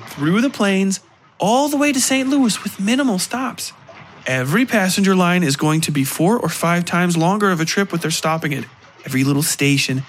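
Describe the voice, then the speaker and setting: A cheeky young saleswoman played by a man pitches her offer in a raised voice with eager, persuasive patter. She belongs in an audio drama, recorded with faint background noise. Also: a bit bright. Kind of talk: audio fiction character dialogue.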